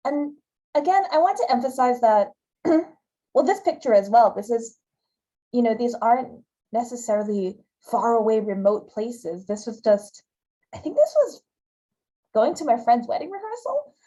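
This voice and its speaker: A woman's voice: fairly high pitch, animated, normal speed, mellow, rough recording. A woman from Hawaii giving a conversational talk over slides, with fillers and repeated words. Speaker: guest speaker giving a talk.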